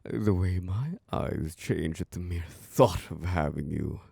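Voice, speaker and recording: A man voicing an obsessive, predatory male vampire lord in an ASMR roleplay. His voice is deep, gravelly and husky, and he speaks slowly in a hush that keeps slipping into whispers close to the microphone. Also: dry recording, heavily acted. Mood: hungry, menacing. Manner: slow, hushed, drifting into whispers.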